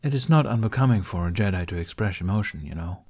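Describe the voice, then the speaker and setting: A man plays an older warrior-monk master from a storybook, in a lowish voice. He is kindly and wry, teasing drily in measured phrases. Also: expressive, a little mellow. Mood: earnest advice. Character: older male Jedi master, wry, kindly, bearded mentor. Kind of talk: audiobook character dialogue.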